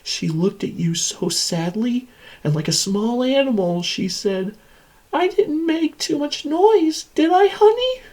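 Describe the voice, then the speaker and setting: A man's voice: very high voice, darkish tone, exaggerated voice. A man voicing an insane, sadistic supercomputer that hates its human captives, in a theatrical and menacing villain monologue. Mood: mocking cruelty. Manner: theatrical, menacing, swinging from whispers to screams.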